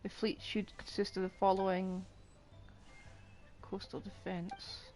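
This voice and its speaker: A woman's voice: mid-range voice, little pitch movement, measured pace, a little mellow, soft background music. A woman streaming a game, giving casual off-the-cuff commentary as she plays. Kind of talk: casual live gameplay commentary.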